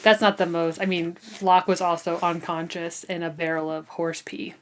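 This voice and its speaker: A woman's voice: lowish voice, level tone, normal speed, a little hiss or hum. A woman co-hosting a book podcast, chatting casually with wry, emphatic reactions.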